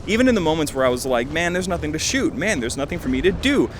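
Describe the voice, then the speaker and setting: A man's voice: a little high, sing-song, fast, noisy background. A man in his twenties giving casual, rambling game commentary as a video host, enthusiastic and full of jokey asides. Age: 20s. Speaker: video host reviewing fan games.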